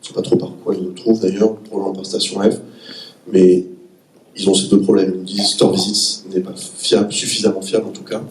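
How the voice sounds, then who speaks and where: A man's voice: low-pitched, level tone, a little fast. A man who has a cold, explaining his technical business on a round-table panel. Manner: explanatory, technical, self-correcting. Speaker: panelist, startup executive. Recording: echoey room, far from the mic.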